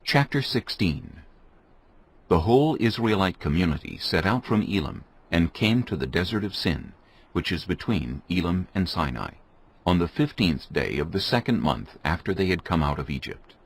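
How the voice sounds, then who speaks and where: A man's voice: low-pitched, big pitch swings, normal speed, husky. A man narrating scripture as a dramatic story, in a solemn storytelling manner.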